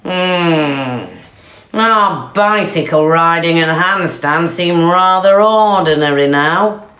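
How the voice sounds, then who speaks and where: Woman as a slow, suspicious, sulky cow. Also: lowish voice, fairly bright tone, echoey room. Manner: slow, emphatic. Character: suspicious, sulky, jealous farm cows.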